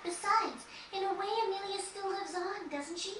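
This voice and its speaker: High-voiced gentle teenage girl. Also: normal speed. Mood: tender, accepting. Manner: soft-hearted, sincere, earnest. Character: gentle, accepting fourteen-year-old girl, sickly yet consoling. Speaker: voice actor in a fantasy game. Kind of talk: dramatic game cutscene dialogue. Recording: distant, echoey, noisy game capture.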